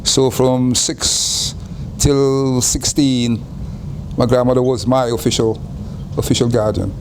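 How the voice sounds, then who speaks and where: An older man from Guyana, telling his life story in an interview in an unhurried way, often repeating and restarting phrases. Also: medium pitch, steady tone, a little hiss or hum.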